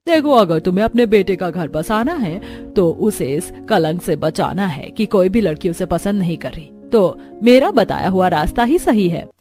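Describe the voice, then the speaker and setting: A woman's voice: on the low side, expressive, normal speed, few pauses, mellow, soft background music, lightly acted. A woman voicing a shrewd matchmaking aunt in a composed, persuasive manner.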